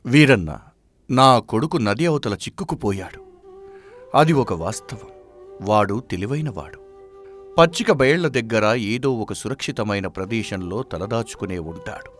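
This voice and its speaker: A man playing a philosophical old village father in a moral story, speaking in a measured, stoic, reassuring way. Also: lowish voice, lively intonation, faint music behind, lightly acted. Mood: calm, accepting.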